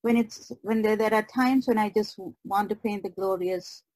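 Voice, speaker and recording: A woman guest chatting casually on a podcast, halting as she searches for her words. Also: medium pitch, a little mellow, dry recording, rough recording. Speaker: guest artist.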